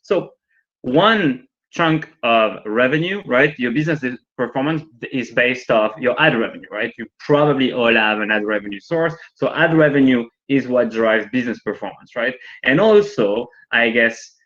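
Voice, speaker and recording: A man who is not a native English speaker leads a live training session from his home over a video call. He talks informally and often tacks on a quick "right?" as he goes. His voice sits at a middling pitch, and the call audio sounds somewhat muffled and lo-fi. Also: animated, fairly bright tone. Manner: chatty, frequently adds "right?". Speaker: workshop presenter. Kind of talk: live online training talk with demos. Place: working from home.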